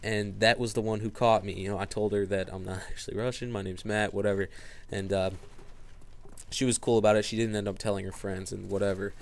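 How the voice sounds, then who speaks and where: A man's voice: fairly low pitch, flat delivery, brisk, gravelly. A young man vlogging, telling a story casually to camera, rambling with lots of fillers and restarts.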